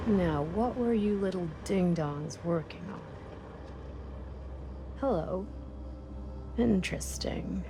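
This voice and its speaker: A woman's voice: on the low side, slow, pauses often. A woman playing a nosy, mocking snoop in a horror game. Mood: nosy, amused. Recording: loud ambient noise behind the voice.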